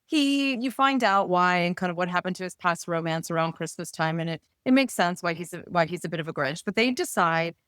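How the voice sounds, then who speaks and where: A woman author being interviewed, pitching her books conversationally with upbeat, playful storytelling. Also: lively intonation, fairly quick, few pauses, a bit bright, clear, resonant tone, no echo, studio quality.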